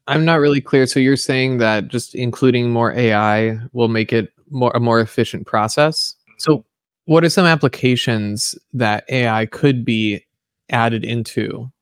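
A man's voice: mid-range voice, measured pace, no room sound. Podcast host, man with dry close sound. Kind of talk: conversational podcast interview.

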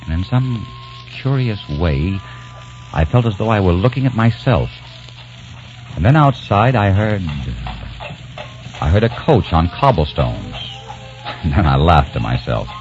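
A dreamy young American, deep voice. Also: male voice, very animated, measured pace, long pauses, darkish tone, full character voice. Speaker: lead actor and narrator. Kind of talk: 1940s radio drama acting. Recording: dull old radio transcription with music.

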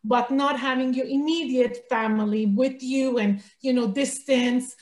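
A woman who grew up in Iran, a guest author on an interview podcast, telling stories in an animated way with many "you know"s. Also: high voice, a little slow. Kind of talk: casual interview chat. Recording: echoey room.

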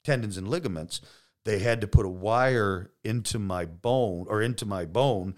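Deep-voiced man telling stories casually. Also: moderate pace. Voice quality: deep, loud voice. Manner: joking, profane, frequent quoted dialogue. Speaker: podcast guest, injured police detective. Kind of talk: casual storytelling. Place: podcast studio.

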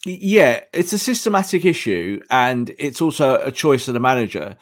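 A hoarse man analyzing football tactics on a podcast, emphatic and repeating his points. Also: mid-range voice, lively intonation, moderate pace, dry recording, clean studio sound.

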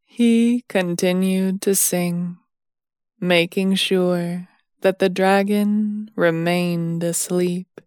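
Very slow-paced woman narrating a bedtime story. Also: fairly low pitch, fairly even intonation, a little mellow, dry recording, clean studio sound. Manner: soothing, measured, with long pauses between phrases.

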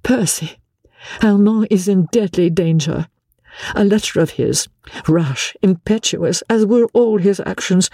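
A man voicing a distraught young noblewoman who pleads tearfully, halting and repeating herself. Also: high voice, warm tone, no room sound, studio quality, voice pushed up.

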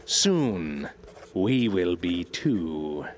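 A man's voice: low voice, big pitch swings, extremely slow, a little mellow, slight background noise, exaggerated voice. An actor playing a zealous, theatrical scientist in an audio drama, declaiming his journal grandly. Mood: grandly determined.

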